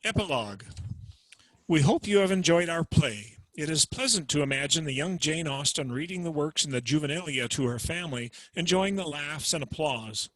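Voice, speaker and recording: A man reading short scene summaries in a plain, measured voice. His voice sits a little high, and the sound is dry and close. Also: lively intonation, a bit bright, dry recording, rough recording. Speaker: narrator of summaries. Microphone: video call.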